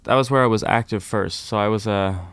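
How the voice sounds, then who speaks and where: A young man from Norway, a folk musician, chatting casually and warmly in an interview, with lots of "kind of" and "like". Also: on the low side, a little fast, clear, resonant tone, no echo, clean studio sound. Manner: relaxed, friendly, uses fillers like "kind of, like".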